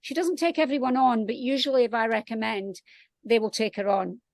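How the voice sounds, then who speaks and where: A Scottish woman speaks in a fairly high voice as a guest author in a casual panel talk. Her sound is dry, with hardly any room reflection, as she talks outdoors. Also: fairly even intonation, a little fast, vocal fry, a little mellow, no echo. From Scotland.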